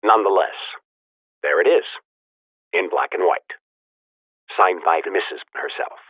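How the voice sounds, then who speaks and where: Very deep-voiced, insinuating businessman on telephone. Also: measured pace, no room sound. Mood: coldly firm. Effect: old telephone filter. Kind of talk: video game voice acting. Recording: narrow, tinny telephone line.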